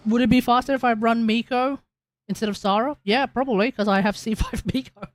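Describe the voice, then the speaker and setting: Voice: mid-range voice, steady tone, a little fast, no room sound. A game livestreamer giving casual live commentary on gameplay, with playful exclamations and muttered asides.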